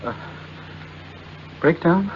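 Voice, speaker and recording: An actor playing a devoted husband in 1940s radio drama, anxious and distraught, stammering urgently with worry. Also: male voice, very slow. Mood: worried, insistent. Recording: muffled old radio recording with hum.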